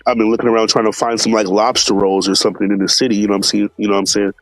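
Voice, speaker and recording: A man's voice: on the low side, little pitch movement, very fast, dry recording, soft background music, rough recording. Laid-back, slangy man on a live stream. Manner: laid-back, slangy, many fillers, greets chat viewers by name. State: stuffed up from allergies. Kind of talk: casual live stream chat.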